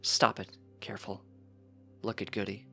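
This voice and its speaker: A man voicing a gentle, resigned father pony, calm and soft-spoken, in a story reading. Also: fairly low pitch, normal speed, gravelly, faint music behind. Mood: quiet resignation.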